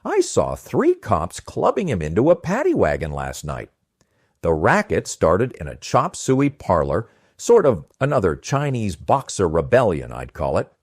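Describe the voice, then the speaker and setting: A man's voice: on the low side, wildly swooping pitch, a little slow, slightly rough, no echo, clean studio sound, heavily acted. A man playing a sardonic, needling wisecracker from a 1920s comic strip, full of puns. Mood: sardonic, teasing. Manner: sardonic, punning. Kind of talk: acted comic-strip dialogue.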